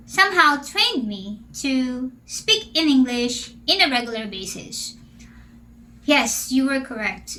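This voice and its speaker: A Filipino woman who speaks Filipino natively hosts a casual Q&A vlog as an English teacher. She is chatty, keeps asking questions, and speaks in a high, bright voice. She takes her time and often stretches out her words. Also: slight background noise, low-quality recording. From Philippines. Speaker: English teacher and channel host.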